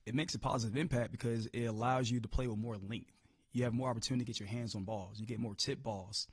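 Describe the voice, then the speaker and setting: A hoarse-voiced man coaching football, answering press questions upbeat, often repeating words as he restarts. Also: medium pitch, steady tone, fast talker, dry recording. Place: media room.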